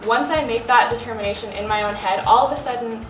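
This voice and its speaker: A woman's voice: on the high side, fast. A nineteen-year-old woman chatting thoughtfully on a studio sofa, saying like often. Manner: thoughtful, uses like a lot. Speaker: panel guest. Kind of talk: candid casual chat. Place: studio set with sofa, indoors. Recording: roomy, distant, music bed.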